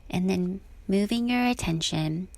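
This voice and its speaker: A woman with a soft, breathy voice guides a body scan meditation in a calm, gentle, unhurried manner. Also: medium pitch, no room sound.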